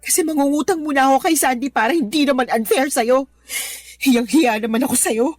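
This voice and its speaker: A woman's voice: high voice, a little fast, dry recording, studio quality, soft background music. An actress playing a hurt, indignant young girlfriend who argues emotionally in a Tagalog radio drama. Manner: emotional, argumentative.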